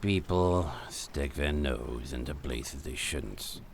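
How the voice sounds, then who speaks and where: An actor playing an older man who grimly warns against prying into a dark secret, talking slowly and ominously in a radio drama. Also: slight background noise, exaggerated voice. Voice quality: very deep, gravelly. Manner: slow, ominous, warning. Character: grim old man guarding a dark secret.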